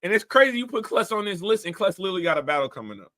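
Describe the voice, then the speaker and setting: A man's voice: sits high, very animated, very fast, sharp tone. A man hosting a casual commentary show, talking bluntly and animatedly in a rambling way. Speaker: host.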